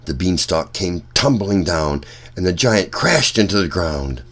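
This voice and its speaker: Deep voiced guy reading a kids fairy tale, really slow and measured. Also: very animated, a bit bright. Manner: deliberate, measured phrasing. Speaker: storyteller. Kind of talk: children's read-aloud narration.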